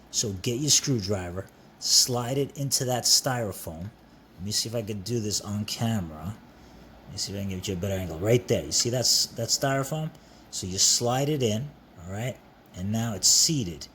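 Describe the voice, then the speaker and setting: Low-voiced man giving a casual repair walkthrough. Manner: informal, repeats himself, talks viewer through steps. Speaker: repair tutorial host. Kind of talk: casual hands-on how-to narration. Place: indoors at a workbench. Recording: steady background noise.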